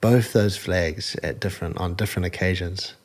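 A man with a New Zealand accent, a fighter, answering interview questions in an earnest, halting way with many fillers. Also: sits low. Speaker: combat sport fighter, guest.

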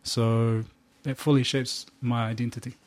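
A man's voice: mid-range voice, normal speed. Laid-back New Zealand man telling stories. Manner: relaxed, laughs often, slangy storytelling. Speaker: musician guest. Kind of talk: casual interview chat.

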